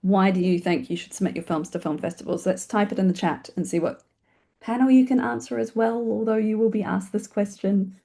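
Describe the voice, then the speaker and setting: Female webinar host, New Zealand accent, mid-range voice, informal and chatty, quick-talking presenter style. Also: mid-range voice, steady tone, creaky, warm tone. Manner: fast-talking, chatty, self-deprecating, questioning okay check-ins. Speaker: webinar host and film community organiser. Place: online video call.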